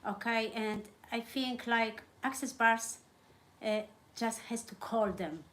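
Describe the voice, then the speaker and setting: A woman's voice: a little high, takes it slowly, a bit bright. A woman whose first language is not English, hosting a casual chat about therapies and interviewing a guest, with many fillers and restarts. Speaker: host.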